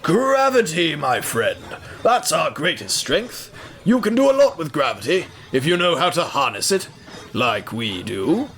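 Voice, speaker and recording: A man's voice: a little high, very animated, measured pace, fairly bright tone, a little hiss or hum, full character voice. A man voicing a genial, wry older railway wagon in an audio drama, folksy and patient. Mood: calm, proud.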